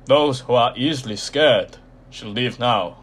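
A man voicing an ancient circus ringmaster, low and croaky, announcing the show in a menacing, theatrical way. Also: unhurried, fairly bright tone. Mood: ominous, grand.

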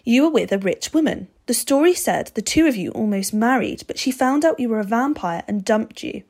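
A woman's voice: on the high side, lively intonation, brisk, a little mellow, pitched above the performer's own voice. A woman voicing an eager, stubborn, fearless schoolboy who speaks earnestly and insistently in a storybook reading.

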